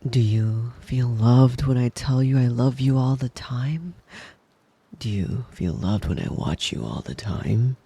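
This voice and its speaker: A man playing a devoted, possessive young wolf-boy lover in an intimate ASMR roleplay, tender and breathy, often slipping into a whisper. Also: mid-range voice, lively intonation, dark tone. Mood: intense, possessive. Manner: tender, doting, slow and lingering with pet names. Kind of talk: intimate ASMR roleplay, soothing bedtime murmur.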